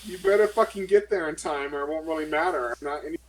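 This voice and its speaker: High-pitched sarcastic male player. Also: fast talker, poor audio. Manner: sarcastic and profane. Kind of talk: casual game-table banter.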